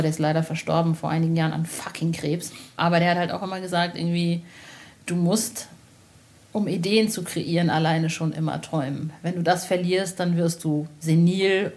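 Casual woman podcaster, slightly low voice. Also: darkish tone. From Germany, Westphalia. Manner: informal, blunt slang with swearing. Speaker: podcast host, interviewer.

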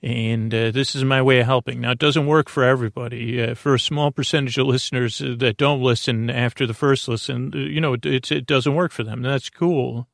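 A man hosting a sleep podcast in a creaky voice, gently reassuring as he rambles, halts, repeats himself and trips over words in a soothing monologue. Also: mid-range voice, fairly even intonation, fairly quick, few pauses, no room sound, studio quality. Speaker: podcast host.

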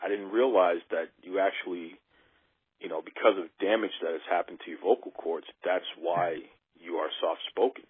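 A low-pitched man with a husky voice hosts a radio interview, earnest and emphatic, circling back over his own phrases. The sound is thin and phone-like, with no room around it. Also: moderate pace, no echo. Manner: earnest, emphatic, repeats himself. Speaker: radio host.

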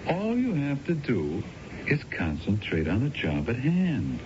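Casting: cocky, wisecracking radio-drama con man, animated intonation, noisy old recording. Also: medium pitch, unhurried, lo-fi sound. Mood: cocky, evasive. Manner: evasive, wisecracking. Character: sly, cocky male schemer coaching his accomplice. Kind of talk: 1970s radio drama acting.

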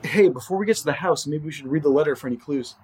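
A man's voice: fairly high pitch, fast, darkish tone, lightly acted. A man playing a practical, curious companion in an audio drama, casually prodding in naturalistic dialogue.

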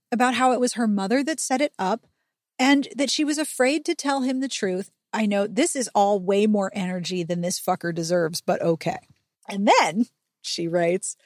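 A woman's voice: medium pitch, no echo, studio quality. Sarcastic, sweary woman podcast host. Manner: animated, sarcastic, sweary storytelling. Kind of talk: chatty book recap with commentary.

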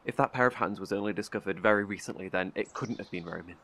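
A man's voice: fairly low pitch, fast, a bit bright. An actor playing a wary, guilt-ridden male survivor in an audio drama, terse, guarded and defensive. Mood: agitated, defensive.

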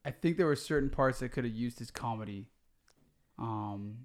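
A man co-hosting a podcast in relaxed, hedging casual chat. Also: medium pitch, moderate pace. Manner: laid-back, hedging. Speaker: podcast co-host.

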